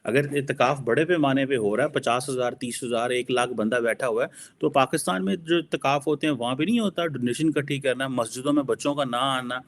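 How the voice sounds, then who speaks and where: A man's voice: medium pitch, brisk, hardly any pauses. A man with a Pakistani accent explains his opinions as a political analyst in informal commentary, mixing in English phrases.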